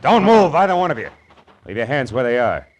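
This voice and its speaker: An actor with an American accent playing a tough, sardonic private detective in an old-time radio drama, wry and clipped. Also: male voice, medium pitch, very animated, fairly quick, lightly acted. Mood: commanding, sardonic. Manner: wry, hard-boiled, clipped.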